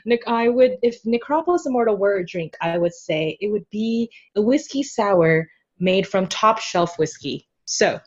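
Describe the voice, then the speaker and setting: A woman's voice: mid-range voice, expressive, measured pace, breathy edge, muffled recording. A woman speaking as a podcast guest in a casual chat, playful and giggly, often thinking aloud.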